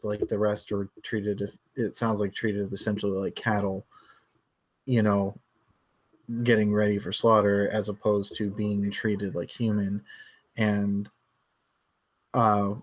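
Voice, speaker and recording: A man hosting a casual book discussion, thinking aloud in a halting way and circling back over his phrases. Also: on the low side. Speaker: book club discussion host.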